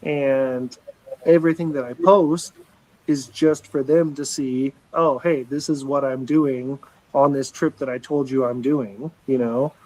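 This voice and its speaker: A man's voice: medium pitch, steady tone, unhurried, darkish tone, poor audio. A man in his forties talking on a live show in a rambling, earnest way, often restarting sentences and swearing casually. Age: 40s. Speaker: livestream show regular.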